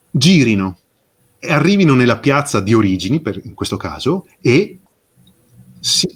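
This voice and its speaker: A man speaking as an interview guest, explaining with passion and emphasis, posing rhetorical questions and pausing for effect. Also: mid-range voice, breathy edge. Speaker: interview guest, fairy tale expert. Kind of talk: impassioned explanatory interview talk.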